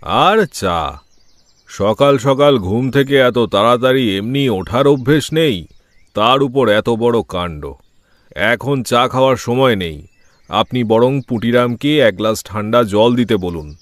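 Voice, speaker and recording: A man playing a flustered, hurried police officer in an audio drama, earnest and explanatory. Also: medium pitch, animated, no room sound. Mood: urgent. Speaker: voice actor in an audio story. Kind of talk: Bengali detective audio drama acting. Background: music.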